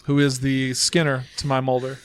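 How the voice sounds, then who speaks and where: A man's voice: mid-range voice, monotone, normal speed, clear, resonant tone, dry recording. A man hosting a casual group podcast, rambling and joking while he is losing his voice. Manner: rambling, self-deprecating, joking. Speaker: podcast host. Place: small room around a table.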